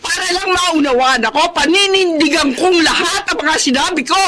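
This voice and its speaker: A woman's voice: extremely high pitch, fairly quick. An actress playing a firm, devoted middle-aged wife and mother with a Filipino accent in a radio drama. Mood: angry, defiant.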